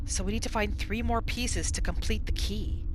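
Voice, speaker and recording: A woman hosting a gaming channel, giving expressive roleplay commentary and reacting aloud as she plays. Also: mid-range voice, normal speed, background noise. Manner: expressive, reacts aloud, talks to the game characters. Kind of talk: video game roleplay commentary.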